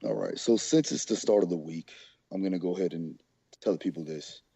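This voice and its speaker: A man co-hosting a podcast as a strength coach, his voice a little hoarse, talking in a laid-back way with lots of fillers. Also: low voice, fairly quick, warm tone, no room sound. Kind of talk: casual podcast chat.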